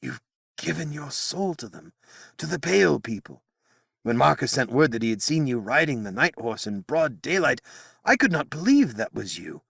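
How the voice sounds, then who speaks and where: A man's voice: medium pitch, big pitch swings, normal speed, gravelly, fairly bright tone, husky, no room sound, studio quality, pitched above the performer's own voice, full character voice. Man voicing Merlin, agitated old wizard. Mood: troubled. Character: old bald male wizard, anxious and devout. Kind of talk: audiobook character voice.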